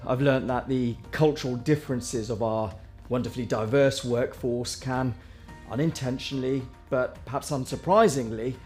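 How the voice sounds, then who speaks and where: A man who is a partner at a firm, speaking warmly with a light touch of humour in a corporate testimonial. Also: mid-range voice.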